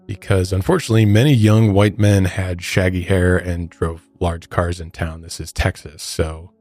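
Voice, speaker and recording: Low-voiced American man telling true crime. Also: steady tone, moderate pace, darkish tone, soft background music. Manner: conversational, informal asides. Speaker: podcast host. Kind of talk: true crime storytelling with casual commentary.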